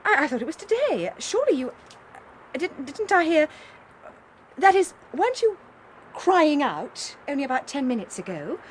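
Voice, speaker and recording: An actress playing a practical, well-bred young lady in a radio drama, with an upper-class southern English accent and a crisp, condescending manner. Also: high-pitched, big pitch swings, moderate pace, long pauses, a little hiss or hum. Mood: flustered. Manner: crisp, prim, politely condescending.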